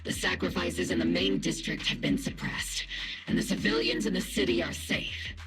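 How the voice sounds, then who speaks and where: A businesslike video game squad leader, a little high in pitch with lively intonation. He briefs his unit in a measured, wry way, with clear music behind. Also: exaggerated voice.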